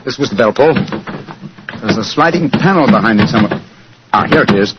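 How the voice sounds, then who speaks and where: An actor playing an assured, courteous gentleman detective with a precise manner, in 1940s radio drama style. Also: mid-range voice, animated, fairly quick, very noisy, natural voice. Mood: purposeful. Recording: dull vintage radio recording.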